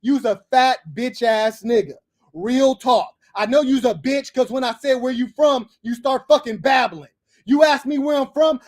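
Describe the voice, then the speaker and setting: A man from Los Angeles hosting a livestream, insulting and cursing at a caller in a heated, domineering way. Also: very high-pitched. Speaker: livestream host. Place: abroad on livestream.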